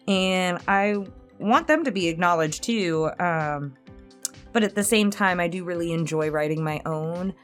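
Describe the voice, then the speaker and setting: A woman in her late twenties chatting candidly and casually as she answers subscriber questions, rambling through personal stories. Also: fairly low pitch. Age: 20s. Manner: candid, rambling storytelling with small chuckles. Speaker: ASMR channel host answering subscriber questions. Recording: music playing behind the voice. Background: instrumental music.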